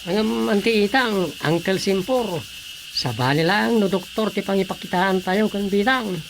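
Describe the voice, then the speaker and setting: A man's voice: high-pitched, moderate pace, creaky. An actor playing a cautious village councilman who urges seeing a doctor, in a radio drama. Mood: concerned. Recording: radio drama with background noise.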